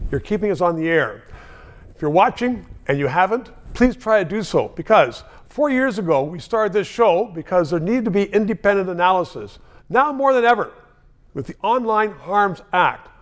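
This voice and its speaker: A man with a notably high-pitched voice hosts a political interview. He prompts his guest with short, direct questions and speaks straight to his viewers between them. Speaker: interview show host.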